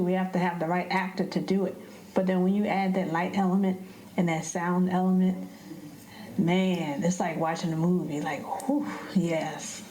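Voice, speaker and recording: A woman's voice: on the low side, a little mellow. A woman speaking as a stage director in an interview, emphatic and often searching for her words. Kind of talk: conversational interview. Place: echoey indoor theater. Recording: echoey room.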